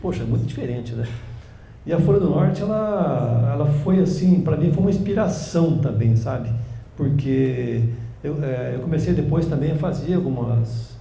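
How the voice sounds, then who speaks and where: Gravelly older Brazilian man reminiscing. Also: a little high, expressive, warm tone. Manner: rambling, halting storytelling with many restarts. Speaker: guest, journalist and storyteller. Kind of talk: relaxed interview conversation. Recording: echoey room, faint background noise.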